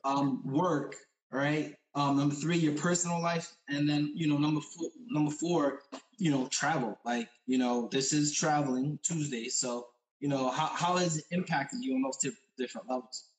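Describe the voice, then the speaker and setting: A man's voice: fairly high pitch, fairly even intonation, moderate pace. Male interview host, easygoing and slangy, rambling questions, echoey room. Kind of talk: casual interview chat.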